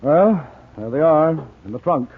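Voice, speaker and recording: An actor playing a terse, unsentimental American police doctor in a 1940s radio drama, matter-of-fact in delivery. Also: male voice, a little high, animated, measured pace, breathy edge. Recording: tinny 1940s radio recording.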